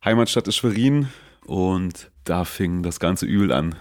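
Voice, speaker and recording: A man's voice: low voice, animated, a little slow, darkish tone. A man in his forties from northeast Germany, a graffiti artist answering interview questions in an easygoing way, loose with fillers. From Mecklenburg, northeast Germany. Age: 40s. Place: seated indoors in a city.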